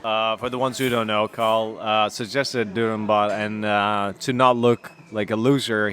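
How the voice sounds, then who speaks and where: A man's voice: fairly low pitch, fairly bright tone. A young man with a Danish accent, chatting casually as podcast co-host, rambling with many fillers and restarts. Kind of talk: casual chat.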